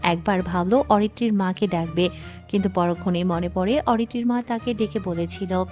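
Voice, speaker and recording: A woman's voice: lowish voice, steady tone, normal speed, a little mellow, faint music behind. A woman reading scripted story narration as the narrator.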